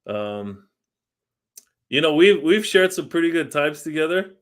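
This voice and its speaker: A man's voice: on the high side, sing-song, a little slow. A man hosting a podcast, chatting casually with a guest and laughing along as he reminisces. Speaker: podcast host. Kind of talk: casual interview chat.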